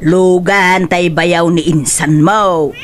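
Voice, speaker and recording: A woman playing a cheery, doting mother of grown children in a comedic radio drama, with drawn-out delivery. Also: on the low side, fairly even intonation, slight background noise. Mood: cheerful. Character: cheery, doting mother of a returning overseas worker.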